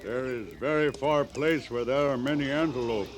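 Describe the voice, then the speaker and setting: An actor playing a proud, grave Cheyenne warrior who speaks halting broken English in a radio western. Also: male voice, mid-range voice, natural voice. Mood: solemn. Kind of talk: 1950s radio western acting. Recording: noisy old radio drama recording.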